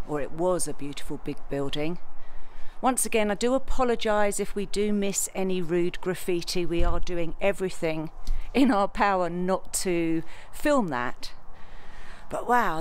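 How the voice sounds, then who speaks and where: A woman's voice: on the low side, a little hiss or hum. A middle-aged woman, narrating a travel vlog on camera while touring an abandoned building. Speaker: travel vlogger.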